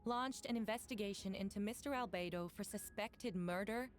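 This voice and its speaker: A woman voicing a stern prosecutor in a video game, speaking in a formal, measured manner. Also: mid-range voice, faint music behind, close to an everyday voice. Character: stern formal female prosecutor of knightly company.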